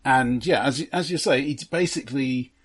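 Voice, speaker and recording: Wry male co-host with a husky voice. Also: medium pitch, normal speed. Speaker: podcast co-host. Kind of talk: casual film discussion.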